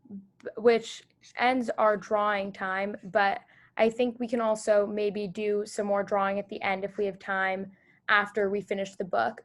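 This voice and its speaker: A woman hosting a read-aloud for children over a video call, reading the story and asking them gentle questions. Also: medium pitch. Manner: gentle, encouraging, with small restarts.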